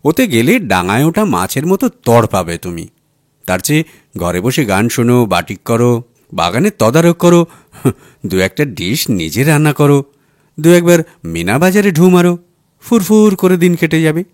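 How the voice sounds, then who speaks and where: A man's voice: medium pitch, big pitch swings, normal speed, darkish tone, dry recording, studio quality, pitched above the performer's own voice, natural voice. Man reading a breezy, patronising businessman husband. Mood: breezily dismissive. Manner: breezy, patronising, listing advice. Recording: music under the voice.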